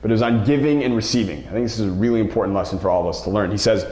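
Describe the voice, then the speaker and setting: A man's voice: on the low side, very fast, mellow. A man speaking as a basketball coach and show host, giving an emphatic motivational talk to viewers and stressing key words by repeating them. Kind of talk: motivational vlog talk to camera. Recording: roomy, echoing sound.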